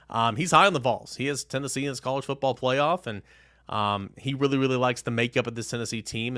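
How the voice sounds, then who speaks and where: A man's voice: medium pitch, sharp tone, no echo, studio quality. A man hosting a sports podcast, moving between ad reads and talk to the listener.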